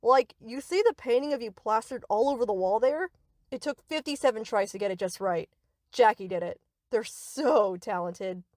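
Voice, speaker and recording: A woman's voice: a little high, moderate pace, a little mellow, dry recording, studio quality, natural voice. A woman playing a sweet, reassuring but possessive young yandere best friend in an anime-style audio roleplay, coaxing and doting.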